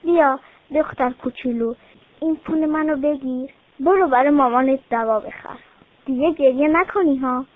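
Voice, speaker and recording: A woman's voice: high-pitched, no echo, lightly acted. A woman voicing a sweet, kind little girl who pleads and speaks earnestly. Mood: gentle. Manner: eager, pleading child voice. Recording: tinny phone-like sound.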